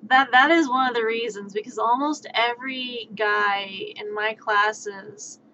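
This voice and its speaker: A woman with a fairly high voice chats on a livestream at an unhurried pace. She is joking and sweary, and grew up with sign language and Spanish. The sound is slightly dull and lo-fi, with faint noise behind her. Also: pushed and bright. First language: American Sign Language and Spanish. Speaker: live streamer. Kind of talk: casual chat with acted-out back-and-forth dialogue. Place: indoor livestream.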